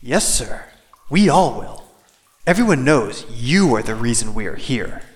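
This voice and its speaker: An actor playing a nervous, deferential rebel underling in an audio drama, hesitant when speaking to a superior. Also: male voice, mid-range voice, big pitch swings, unhurried. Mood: obedient.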